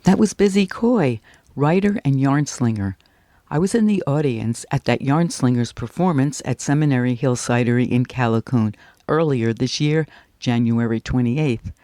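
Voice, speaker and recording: A man's voice: medium pitch, moderate pace, warm tone, no echo, studio quality. A man presenting on the radio, giving a scripted announcement.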